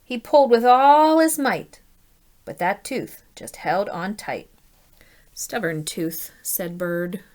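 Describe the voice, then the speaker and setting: A woman reading a children's story aloud as a teacher, in an animated, deliberate way, putting questions to her listeners. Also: medium pitch.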